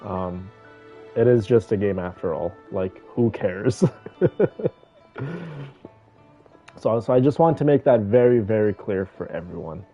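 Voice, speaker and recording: A man's voice: lowish voice, measured pace, long pauses, warm tone. A man chatting casually to his live stream viewers, reacting to a game with emphatic, repetitive phrasing. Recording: muffled lo-fi mic. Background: game music.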